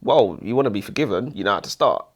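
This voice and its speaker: A man's voice: fairly low pitch, expressive, fast, a little hiss or hum. A British man bantering casually as a book review co-host, blunt, opinionated and jokey.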